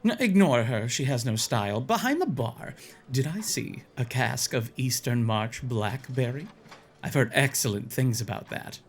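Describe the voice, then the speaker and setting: A man's voice: medium pitch, lively intonation, normal speed, natural voice. A man playing an enthusiastic, curious, teasing bard in a fantasy audio drama. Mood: teasing, excited. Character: excitable, curious male bard, a tavern patron.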